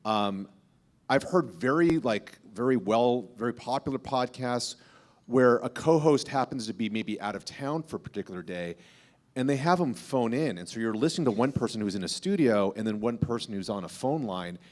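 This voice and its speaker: A man's voice: mid-range voice, expressive, normal speed, a little mellow. A man speaking as a podcast host on a panel, explaining things in an informal, emphatic way. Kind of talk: panel discussion talk, explanatory.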